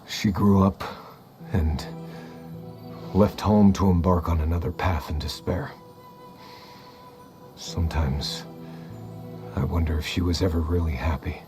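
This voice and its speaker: A gentle young man with a low voice, carrying quiet grief in a game story. He speaks in a soft, measured, reflective way, with clear music playing behind him. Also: steady tone. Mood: wistful, regretful. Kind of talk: video game story acting.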